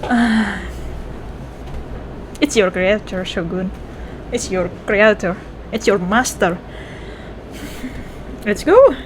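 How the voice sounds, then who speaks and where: A woman with an Indonesian accent reacting casually as she streams a game, excitable and switching between languages. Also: medium pitch, slow, long pauses. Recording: noise behind the voice.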